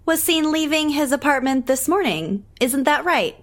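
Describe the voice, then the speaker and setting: A woman's voice: high-pitched, animated, normal speed. A woman acting in an audio drama as a smug, catty council politician with pointed, insincere delivery. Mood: smug, accusing. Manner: sugary insincerity with pointed digs. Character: smug, fake-sincere, catty female city council politician.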